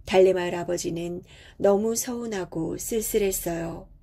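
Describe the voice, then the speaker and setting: A woman storyteller reading a children's fairy tale in a gentle, measured way. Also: lowish voice, gravelly.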